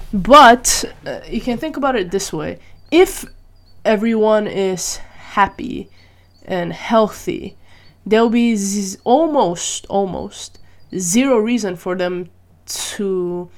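Woman, from Malaysia, lowish voice, casual solo monologue, thinking aloud, rhetorical questions. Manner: musing, thinks aloud with rhetorical questions and frequent "right?" and "you know?". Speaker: vlogger.

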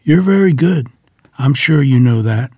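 A man's voice: medium pitch, lively intonation, a little slow, breathy edge, no echo, studio quality. A man playing a courteous, devout rural piano tuner in a period table read, deferential and folksy. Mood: earnest, persuasive. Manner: deferential, folksy, gently persuasive.

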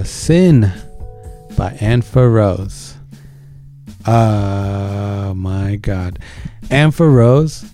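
A man's voice: on the low side, dark tone. A man co-hosting a music show, chatting informally and enthusiastically in slangy language as he introduces songs. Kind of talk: casual chat introducing songs.

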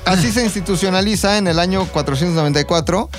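A man's voice: high-pitched, quick pace. A man with a Mexican accent telling history as casual gossip, explaining informally with slang and imagined quotes. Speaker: podcast co-host telling history. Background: background music.

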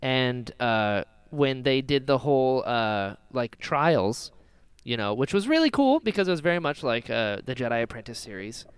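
Man, podcast co-host, casual fan chat, animated and jokey, swooping intonation, clean smooth voice, dry close sound. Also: medium pitch, moderate pace, no echo. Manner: animated, jokey, mimics characters in exaggerated voices. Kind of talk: casual fan discussion with acted-out quotes.

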